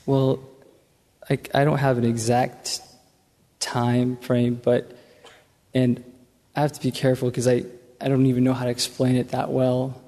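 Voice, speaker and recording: Guy from the crowd at a church q&a, earnest and hesitant, pretty flat voice, echoey hall. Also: medium pitch, a little slow, pauses often. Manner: earnest, hesitant, many restarts. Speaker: audience member asking a question. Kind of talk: questions from the floor. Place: large church auditorium. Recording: long reverb of a large hall.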